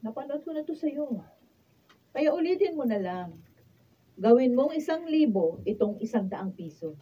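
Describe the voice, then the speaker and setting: A nagging, sarcastic woman in a radio drama. Her voice is high and husky with big swings in pitch. The sound is somewhat muffled and lo-fi. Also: very animated, moderate pace, dark tone, exaggerated voice. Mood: exasperated. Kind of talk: Filipino radio drama acting. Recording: dull, lo-fi behind-the-scenes studio recording.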